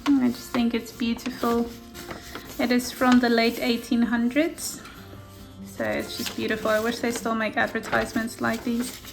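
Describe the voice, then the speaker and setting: A gentle, softly enthusiastic woman whose first language is Afrikaans, talking through her handmade journal at an easy pace. Her voice sits a little high. Faint music plays quietly behind her. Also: little pitch movement, normal speed, creaky. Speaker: junk journal maker. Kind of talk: casual flip-through narration. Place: indoors at a craft table.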